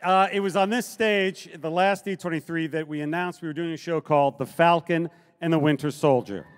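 A man's voice: a little high, brisk. A middle-aged man introducing guests as a presenter on a big convention stage, speaking into a handheld microphone. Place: large convention hall stage. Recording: live stage PA with music.

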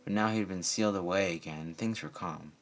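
A man's voice: deep voice, fairly quick. A man narrating a story aloud as a reader of fan fiction.

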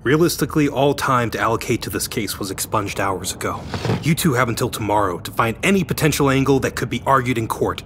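An actor playing a measured, authoritative police chief in an audio drama, speaking formally and deliberately. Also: male voice, mid-range voice, expressive, noisy background, close to an everyday voice. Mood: grave, authoritative.

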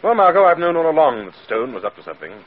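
Suave, lively gentleman sleuth. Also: fairly high pitch, very fast. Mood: cheerful, assured. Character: suave, cheerful gentleman sleuth, affectionate and self-assured. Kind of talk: 1940s radio acting. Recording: muffled, faintly noisy old radio.

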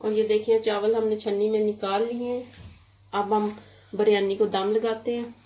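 A woman from Pakistan hosting a cooking channel, talking through a recipe step by step in a plain, even instructional tone. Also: mid-range voice, normal speed, low-quality recording. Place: home kitchen. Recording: loud kitchen noise, frying and stirring. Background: sizzling and stirring in a pan.

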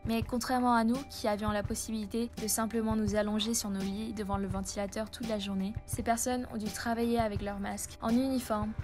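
A young woman with a slightly high voice reads her own earnest, reflective story as a voice-over. Her delivery is steady and scripted. A clear music bed plays under her. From Vietnam. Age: young adult. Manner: earnest, reflective, evenly paced reading. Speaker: vlogger. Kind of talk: scripted personal narration. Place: voice-over, not recorded in the pictured places.

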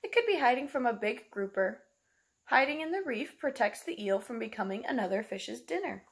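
A woman's voice: a little high, lively intonation, a little fast. A woman, reading a picture book aloud like a teacher, explaining simply to young children and asking them questions. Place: small room at home, indoors.

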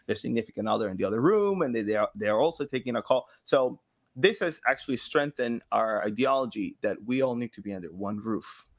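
Man in his thirties, halting conversational talker. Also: mid-range voice. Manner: halting, searching for words, animated quoted asides. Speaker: guest, sound designer and community engagement director at a game studio. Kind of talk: casual podcast interview. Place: small home studio room, indoors. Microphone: microphone on boom arm.

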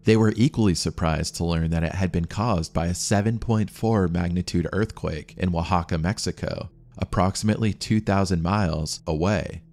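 A man with a very low voice reads a scripted documentary narration. He keeps a measured, steady storytelling pace throughout. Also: creaky.

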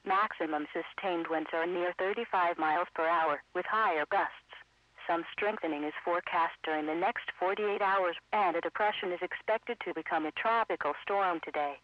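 A synthetic man's voice reading a scripted weather bulletin in a measured, impersonal way as an automated radio announcer. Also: sits high, no room sound. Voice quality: synthetic text-to-speech voice. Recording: muffled radio broadcast.

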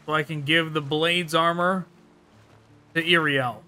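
A man's voice: a little high, fairly even intonation, unhurried, bright, forceful tone. A man giving casual commentary as he plays through a game. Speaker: gaming YouTuber, let's player. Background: game music and sounds.

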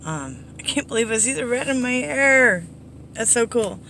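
A middle-aged woman with a hoarse, under-the-weather voice, giving a casual, rambling update to viewers in a low-key, apologetic way. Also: expressive, normal speed, bright, forceful tone. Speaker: slots vlogger. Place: inside a parked car. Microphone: phone at arm's length. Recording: background noise inside a vehicle.

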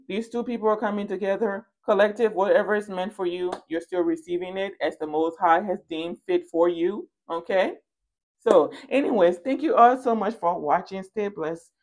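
Female tarot reader, mid-pitched, playful storyteller, casual and slangy delivery. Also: moderate pace. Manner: playful, animated storytelling with quoted asides and slangy endearments. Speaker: tarot reader and channel host. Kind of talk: casual spoken tarot card reading. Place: indoors at a card table.

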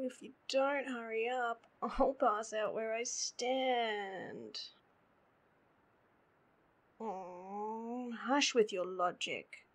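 A woman's voice: a little high, fairly bright tone, full character voice. A woman with an Australian accent playing a sleepy, needy, playful girlfriend in an intimate roleplay audio, in a drowsy, whiny drawl. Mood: sleepy, pleading.

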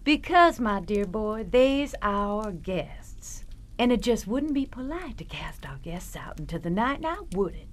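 A genteel Southern matriarch in a radio horror play, mid-pitched with a lively lilt. She is proud, sweetly mannered and sinister. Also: unhurried. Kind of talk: radio horror drama acting.

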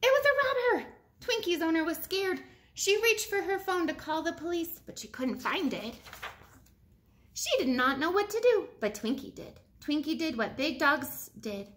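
High, lively woman reading a children's storybook. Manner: expressive, emphatic storytelling with deliberate phrasing. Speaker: teacher reading a picture book aloud to pupils. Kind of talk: children's storybook read-aloud. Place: living room at home, indoors.

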